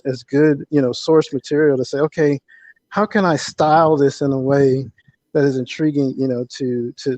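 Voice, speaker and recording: A man's voice: mid-range voice, darkish tone, no echo. A man answering as an author guest on a podcast, explaining conversationally and repeating words as he builds each point. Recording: slightly dull, lo-fi audio.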